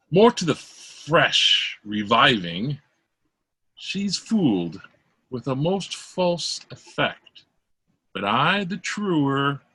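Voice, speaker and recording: Man playing a husky, wary court doctor. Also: medium pitch, fairly bright tone, no room sound, exaggerated voice. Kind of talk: Shakespeare play read aloud. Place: remote video call.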